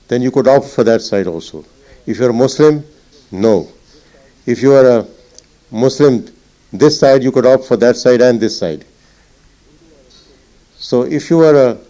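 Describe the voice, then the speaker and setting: An older man, a retired naval officer recalling the past in an interview, reflective and halting with many fillers. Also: mid-range voice, warm tone, low-quality recording. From Punjab. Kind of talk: oral history interview.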